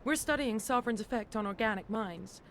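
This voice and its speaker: A frightened alien scientist from a video game, anxious to get away. Her voice is on the high side and nervous, with faint noise in the background. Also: animated, a little fast. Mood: uneasy, explaining. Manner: nervous, pleading, explanatory.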